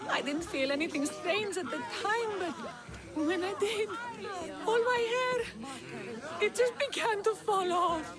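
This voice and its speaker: Very high-pitched, distraught wronged girl. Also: measured pace, a crowd in the background. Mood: tearful. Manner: shrill, pleading, melodramatic. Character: distraught, vain young woman, wronged and vengeful. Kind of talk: video game cutscene acting.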